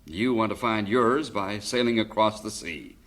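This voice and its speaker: A man playing a fond, wry middle-aged father in a vintage radio drama, speaking gently and philosophically. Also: lowish voice, normal speed, close to an everyday voice. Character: fond widowed father, stock investor.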